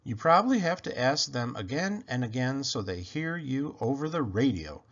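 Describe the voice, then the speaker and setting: A man reading a children's picture book aloud in an expressive, playful way with animated emphasis. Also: mid-range voice, moderate pace. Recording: dull, lo-fi sound.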